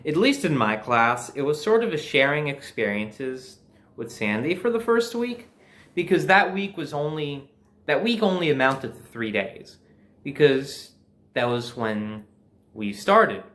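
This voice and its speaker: A man's voice: mid-range voice, big pitch swings, a little slow, fairly bright tone. A young adult man with an American accent tells a personal story in a casual, conversational and emphatic way. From New Jersey, United States. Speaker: storyteller, host.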